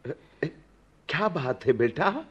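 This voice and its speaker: A man playing a kindly, simple old man with a halting, fatherly delivery in a radio play. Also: fairly high pitch, big pitch swings, breathy edge, lo-fi sound, heavily acted. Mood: concerned. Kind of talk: Hindi radio play acting.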